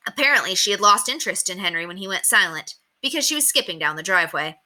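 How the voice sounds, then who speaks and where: A woman reading a children's story aloud with expressive, dramatic emphasis. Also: mid-range voice, fast.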